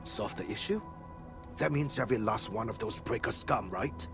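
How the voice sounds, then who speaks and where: Grim loyal worker, low-pitched, vengeful edge, video game dialogue, background music. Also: male voice, moderate pace, creaky. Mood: grim resolve. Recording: music behind the voice.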